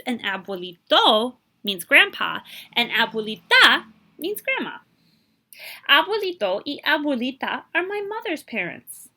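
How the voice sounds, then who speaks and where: Bright, animated woman reading to children. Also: a little high. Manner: expressive, explains and translates Spanish words for listeners. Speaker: librarian reading aloud. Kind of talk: children's picture book read-aloud with explanations.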